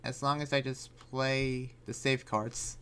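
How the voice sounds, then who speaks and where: A man's voice: mid-range voice, little pitch movement, slow pace, pushed and bright, smooth. A man playing an earnest, cautious young demon slayer in an improvised anime game roleplay, talking in a hesitant, rambling way. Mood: earnest, thoughtful. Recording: music playing behind voice.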